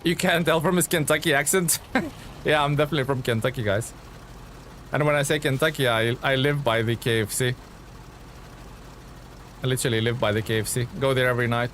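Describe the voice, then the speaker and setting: A man from Lithuania, a game streamer chatting casually with viewers in a relaxed, rambling way. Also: medium pitch, brisk, long pauses, slight background noise. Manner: relaxed, chatty, many fillers and restarts. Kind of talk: casual live-stream chat reading viewer comments.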